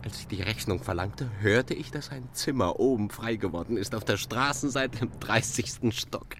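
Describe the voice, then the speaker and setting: An actor playing an agitated, possessive lover, by turns tender and domineering, in a radio play with an intense, urgent delivery. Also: male voice, fairly low pitch, moderate pace, a bit bright. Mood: earnest, tender. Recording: noisy old radio play recording.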